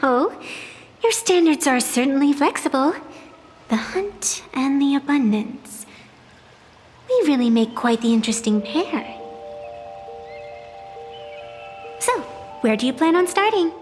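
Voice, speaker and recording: An ancient sacred tree spirit that speaks with sly, amused mockery. The voice sits a little high, carried in a long reverberant space. Soft game music plays underneath. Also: female voice, expressive, a little slow. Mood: teasing. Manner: teasing, amused, mocking. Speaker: game character. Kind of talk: video game voice acting.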